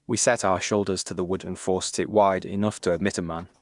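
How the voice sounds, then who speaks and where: Male audiobook narrator: lowish pitch, measured, formal storytelling, dry recording. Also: steady tone, dry recording. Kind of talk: scripted literary narration in first person.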